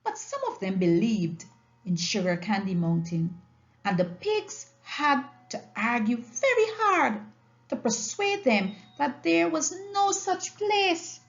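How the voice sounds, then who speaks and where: A woman narrating a storybook aloud as an audiobook reader, with an expressive read-aloud delivery. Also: a little high, highs cut off.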